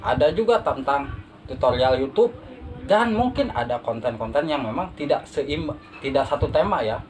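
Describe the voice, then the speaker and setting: A man answering casual interview questions as a podcast guest, humble and halting, repeating his phrases. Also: mid-range voice, animated, darkish tone. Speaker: podcast guest, beginner YouTuber. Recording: noisy amateur phone recording.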